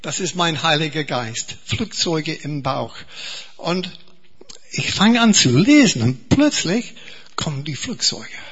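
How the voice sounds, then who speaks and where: An Englishman preaching a sermon in German, a bright voice with a breathy edge. He talks slowly and earnestly, repeating words and restarting phrases. The recording sounds a little dull. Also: fairly high pitch, big pitch swings. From England.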